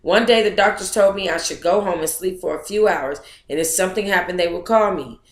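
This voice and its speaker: A woman hosting a book club, reading a memoir aloud in a casual, expressive way and chatting with her listeners. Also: lowish voice, a little fast, roomy sound. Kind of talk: casual reading of a memoir, then chat with viewers.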